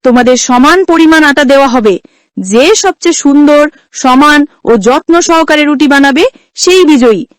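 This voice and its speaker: A woman's voice: high-pitched, moderate pace, no echo, voice pushed up, close to an everyday voice. A woman voicing an authoritative, fair-minded older mother-in-law in a cartoon story, firm and instructive. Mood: firm, commanding.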